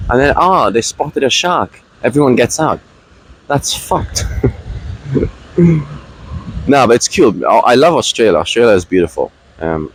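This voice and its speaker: A man's voice: on the low side, low-quality recording. A man in his late twenties talking casually to the camera in a rambling vlog, restarting his sentences and laughing. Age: 20s. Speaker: vlogger. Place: outdoors at a beach.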